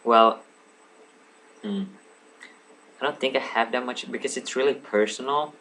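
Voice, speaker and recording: A man's voice: medium pitch, unhurried, fairly bright tone, slight background noise, poor audio. A young man in his late teens, a native Swedish speaker, rambling casually to a webcam at home, full of ums, asides and apologies. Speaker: vlogger. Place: home room lit by a lamp.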